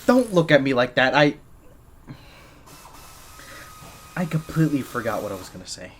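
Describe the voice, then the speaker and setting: A man's voice: medium pitch, sing-song, quick pace, slight background noise, natural voice. A man playing a shy, easily flustered gadget maker for a secret agent in an audio roleplay, speaking nervously and stammering. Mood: embarrassed, flustered. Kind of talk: scripted ASMR roleplay, one side of a dialogue.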